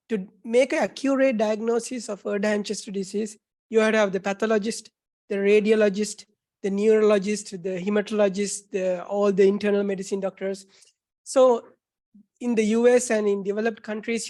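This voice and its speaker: A woman doctor answers questions from a panel. Her voice sits at a middle pitch, and she explains in an earnest way, listing her points one after another. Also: darkish tone. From Sri Lanka.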